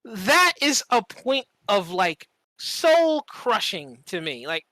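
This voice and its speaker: A man's voice: high voice, very animated, slow, pushed and bright, low-quality recording. A man chatting casually as a podcast host, telling stories in an emphatic, profane way and repeating phrases for effect. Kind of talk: casual chat, animated storytelling.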